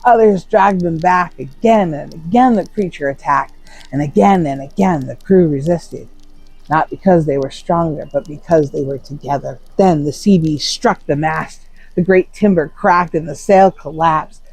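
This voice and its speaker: A storyteller giving a dramatic scripted narration of a Norse saga, solemn and theatrical, with deliberate pauses for suspense. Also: mid-range voice, gravelly, a little mellow, husky, no room sound, low-quality recording.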